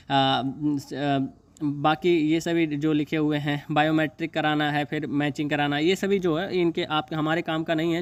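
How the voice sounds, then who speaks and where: A man talks through an informal explainer in a slightly high voice. His delivery is very even and monotone. He goes haltingly, repeating phrases and stumbling as he reads out technical terms. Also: clear, resonant tone. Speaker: exam news video host. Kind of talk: informal explainer, reading and paraphrasing a notice.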